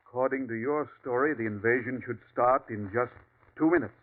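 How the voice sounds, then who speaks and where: A man voices a doctor who is secretly a Martian agent, speaking with calm, coldly polite amusement. The voice sits in the middle range and is heard through a tinny vintage radio broadcast. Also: mid-range voice, a little fast, natural voice. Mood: calm menace. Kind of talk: 1940s radio acting.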